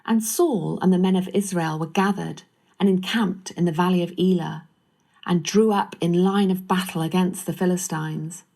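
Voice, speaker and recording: A woman's voice: fairly low pitch, steady tone, moderate pace, creaky, mellow. A woman reading scripted narration of a Bible story.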